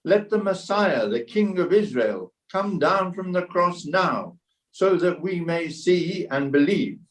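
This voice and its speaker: A man's voice: high voice, expressive, slow. A man playing a scornful, hostile chief priest in a dramatic scripture reading, declaiming mockingly. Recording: voice distant from the mic.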